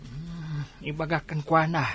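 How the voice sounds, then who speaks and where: A man's voice: a little high, flat delivery, unhurried, bright, forceful tone, breathy edge, full character voice. A man acting an easygoing old village husband and farmer in a radio drama.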